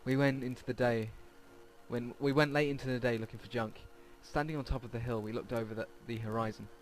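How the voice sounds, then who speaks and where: A man's voice: mid-range voice, fairly even intonation, a little fast, rough recording. A man hosting a let's play, reading visual novel text aloud and stumbling over words now and then. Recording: game music behind the voice. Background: game music.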